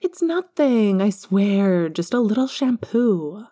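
A woman's voice: mid-range voice, big pitch swings, slow pace, warm tone. A woman voicing a bossy, affectionate, teasing young woman friend in an audiobook. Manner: teasing, insistent. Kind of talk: audiobook fan fiction character dialogue.

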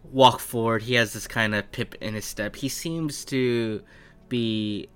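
A man narrating a tabletop game as game master, improvising descriptions in measured, halting phrases. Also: mid-range voice, steady tone, fairly bright tone, smooth. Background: faint background music.

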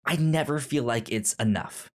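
A man co-hosting a podcast in a casual discussion, talking haltingly and often repeating and stumbling over his words. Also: mid-range voice. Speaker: podcast co-host. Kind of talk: casual book discussion chat.

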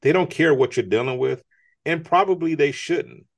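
A man's voice: on the high side, normal speed, vocal fry. A man speaking as an interview guest, earnest and motivational, often rising in emphasis. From United States. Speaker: guest, business strategist. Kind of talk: conversational interview.

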